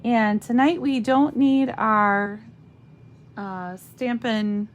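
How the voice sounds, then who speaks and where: A woman with a slightly raspy voice giving a chatty live craft demonstration, thinking aloud as she stamps and colors. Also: on the high side, steady tone, highs cut off. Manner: slow, chatty, thinks aloud while working.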